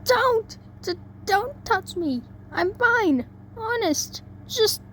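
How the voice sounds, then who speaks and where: A woman voicing a tired, defensive young female pegasus pony in a story reading, grumpy and halting. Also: very high-pitched, lively intonation, fairly bright tone, pitched above the performer's own voice, exaggerated voice. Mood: panicked. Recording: noisy amateur home recording.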